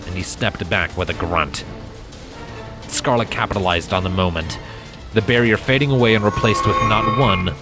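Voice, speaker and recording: Deep-voiced man narrating a dramatic story. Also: pushed and bright. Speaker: fan fiction narrator.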